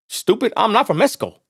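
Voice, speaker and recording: A man's voice: sits high, gravelly, a bit bright, breathy edge. A man with a West Texas accent hosting a casual livestream. He rants bluntly and swears freely, speaking straight to the viewers. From Texas, USA. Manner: blunt, profane, heated ranting. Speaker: livestream host. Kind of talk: casual ranting livestream commentary.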